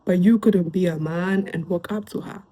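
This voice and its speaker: A woman's voice: on the low side, fairly even intonation, moderate pace, mellow. A young woman with a Kenyan accent, chatting casually as she reacts to online posts and mixing in Swahili phrases. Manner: chatty, switches into Swahili phrases, lots of fillers.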